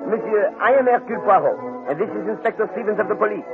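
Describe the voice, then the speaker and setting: An actor playing a courteous, methodical detective with a French accent in 1940s radio drama, precise and formal. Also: male voice, high voice, racing, close to an everyday voice. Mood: calm, firm. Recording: tinny old radio recording with music.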